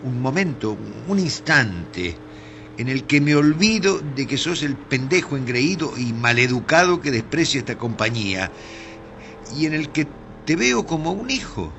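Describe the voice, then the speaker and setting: An older Argentine theatre director in a radio play, bright and forceful, with a lively, swinging pitch. He is sentimental and superstitious, speaking slowly and haltingly with emotion. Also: a little hiss or hum. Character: older theatre troupe leader, sentimental, dramatic, superstitious van driver.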